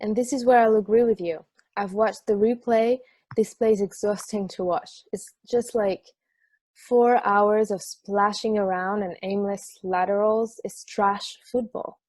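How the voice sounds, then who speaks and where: A man's voice: sits high, fairly even intonation, measured pace, darkish tone, no echo. Man acting easygoing football fan, lover of weirdness, conversational script reading, very dry sound. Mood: enthusiastic, wry. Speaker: amateur actor in a remote table read.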